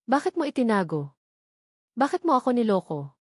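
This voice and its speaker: Bitter, tearful betrayed wife played by a narrator. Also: female voice, fairly high pitch, very animated, moderate pace, darkish tone, slightly rough, no room sound, clean studio sound. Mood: tearful demanding. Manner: accusing, emotional.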